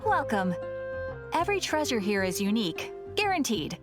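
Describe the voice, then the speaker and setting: An actress playing a cheerful, sly shopkeeper of rare curios in a video game, with welcoming, playful sales patter. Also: female voice, a little high, very animated, moderate pace, over music. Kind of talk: video game character acting.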